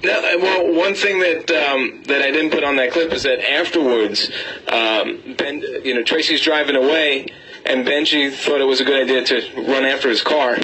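A man from a radio show's crew talks casually in a bright, forward voice. He is halting and hesitant, starting and stopping as he explains. A faint noise sits behind him. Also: medium pitch, poor audio. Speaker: show staff videographer. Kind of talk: casual studio chat.

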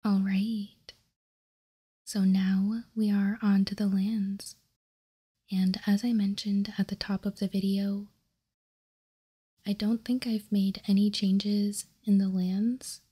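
Breathy whispering woman, slow and soft. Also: medium pitch. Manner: slow, hushed, deliberate. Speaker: host. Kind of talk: whispered ASMR card showcase.

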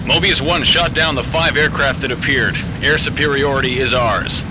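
An actor playing a brisk, commanding military air controller, talking in clipped radio chatter in a video game. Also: male voice, medium pitch, heavy background noise. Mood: pleased, calm. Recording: radio chatter under loud music.

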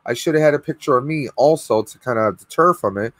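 A man in his thirties hosting a casual live stream from home, a bit hoarse and clearing his throat, talking on a video call in a rambling way. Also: medium pitch, fast, a bit bright, no room sound. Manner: chatty, rambling with fillers. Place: home room with collectibles, indoors. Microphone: webcam video call.

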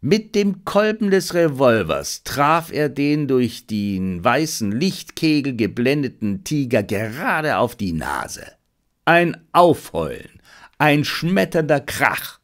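Male audiobook narrator, mid-pitched, highly animated, dramatic and suspenseful. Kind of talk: scripted narration of a detective adventure.

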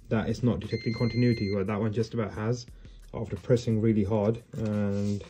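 Laid-back man with a mellow low voice. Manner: relaxed, matter-of-fact explaining while working with his hands. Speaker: heating engineer. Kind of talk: casual how-to demo on the job. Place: indoors in a customer's home, wooden floor.

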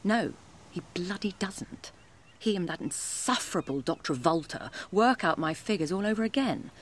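An actress with a British accent playing a sharp-tongued, capable undercover policewoman in a radio drama, brisk and self-assured. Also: on the low side, animated, a little hiss or hum, close to an everyday voice. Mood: tart, proud. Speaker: actor.

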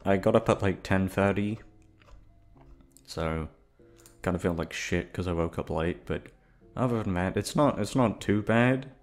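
Slow-paced young man rambling casually. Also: low-pitched. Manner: sweary, informal, meandering. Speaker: audio roleplay voice actor. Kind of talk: casual rambling chat to listeners.

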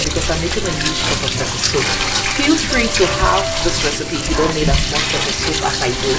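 A woman from Cameroon in her thirties hosting a cooking tutorial in her home kitchen, eagerly explaining each step as she cooks. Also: low voice. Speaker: cooking show host. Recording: echoey kitchen with faint music.

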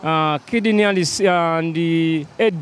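A man on the street answering in halting single words. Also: fairly high pitch. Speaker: passer-by interviewee. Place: street beside a vehicle outdoors. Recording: loud vehicle and street noise.

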